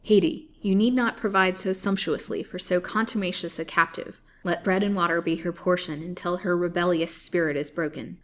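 A woman reading the lines of a scheming male villain, speaking smoothly and commandingly. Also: on the low side, normal speed, close to an everyday voice. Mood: angry.

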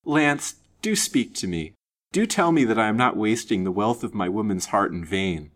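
A man's voice: on the low side, lively intonation, moderate pace, fairly bright tone. A man voicing a passionate, lovestruck young woman in a melodramatic, imploring manner for an audiobook story. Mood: beseeching. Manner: imploring, overwrought, theatrical. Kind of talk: dramatised audiobook reading.

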